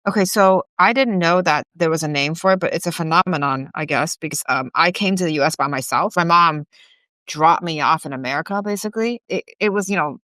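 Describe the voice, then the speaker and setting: A woman's voice: sits low, brisk, pushed and bright, dry recording. A woman in her forties, from Taiwan, talking candidly and upbeat in an interview, with lots of "like" and small laughs. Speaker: guest, gym CEO. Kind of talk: casual interview chat.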